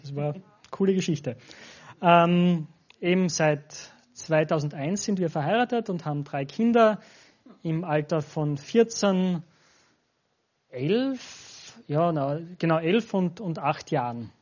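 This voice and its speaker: A man's voice: a little high, lively intonation, slow, darkish tone. An Austrian man in his forties, a pastor speaking informally and directly to a church congregation as a guest. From Styria, Austria. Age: 40s. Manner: informal, addresses listeners directly. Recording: dull, lo-fi sound.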